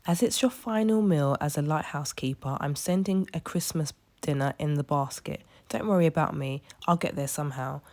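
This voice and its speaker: A woman voicing a capable, caring older lighthouse keeper's wife in a storybook, brisk and reassuring. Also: sits low, a little mellow.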